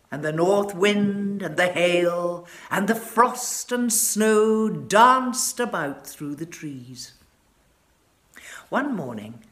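A woman in her sixties reading a children's story aloud in a measured, dramatic way. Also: on the low side, a bit bright. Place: furnished living room, indoors.

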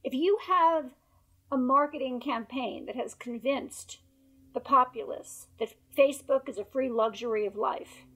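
A hoarse woman who has lost her voice, speaking emphatically as a researcher guest on a video call interview, through earbuds. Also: high-pitched, a little slow, a bit bright, rough recording. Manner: emphatic, repeats points for stress. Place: bright room at home by a window. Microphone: wired earbuds on a video call.

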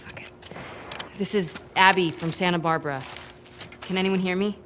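A woman's voice: on the low side, steady tone, moderate pace, a bit bright, slight background noise, close to an everyday voice. A woman playing a tough, determined young survivor in a game, terse and matter-of-fact. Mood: hopeful, urgent. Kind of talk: naturalistic game acting.

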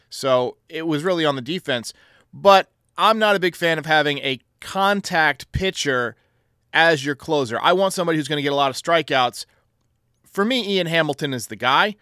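A man's voice: a little high, a bit bright, no echo, studio quality. A man hosting a sports call-in show, giving commentary in answer to callers. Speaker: host of a baseball voicemail show.

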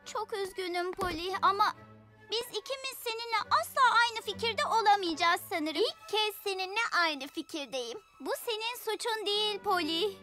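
A woman voicing a picky, opinionated young girl in a children's cartoon dub, expressive and fussy in manner. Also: extremely high pitch, moderate pace, soft background music. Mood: insistent.

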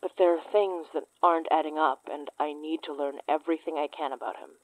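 A woman in her twenties playing a wry, teasing, trauma-worn investigator in a naturalistic horror audio drama, with a deadpan manner. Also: deep voice, fairly quick, no room sound, rough recording. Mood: guarded, earnest. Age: 20s.

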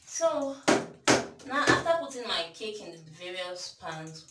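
A young Nigerian woman in her twenties with a Nigerian accent, chatting casually through a baking tutorial in a small home kitchen while she works. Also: on the low side. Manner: chatty, self-deprecating, talks while working. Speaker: baking vlogger. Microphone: camera mic from across the kitchen. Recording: echoey kitchen from far off, noisy.

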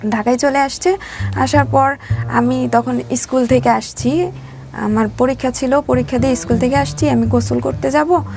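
A woman's voice: high voice, moderate pace, creaky. A woman in her twenties with a Bangladeshi accent, telling a personal life story as an interview guest in plain, colloquial speech. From Mymensingh, Bangladesh. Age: 20s. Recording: loud background music under the voice. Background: music.

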